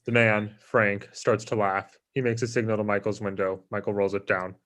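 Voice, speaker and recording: A man's voice: lowish voice, little pitch movement, normal speed, a bit bright. A man narrating screenplay stage directions in a plain, scripted reading style.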